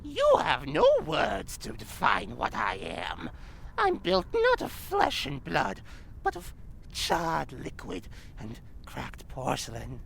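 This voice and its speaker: An ancient demon crone for an audio drama. She speaks slowly and ominously, and her pitch swoops wildly from a low base. The delivery is hungry and theatrical. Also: wildly swooping pitch, creaky, fairly bright tone, slight background noise, heavily acted. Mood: eerie, insistent. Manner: ominous, theatrical, archaic phrasing. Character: creepy, ravenous old demon woman with apples.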